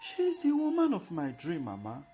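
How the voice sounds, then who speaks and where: A woman's voice: on the low side, wildly swooping pitch, brisk, warm tone, faint music behind, pitched above the performer's own voice. A woman voicing a poor, determined young man in a folktale, earnest and insistent, prone to stammer. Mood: dreamy, determined. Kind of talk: folktale storytelling.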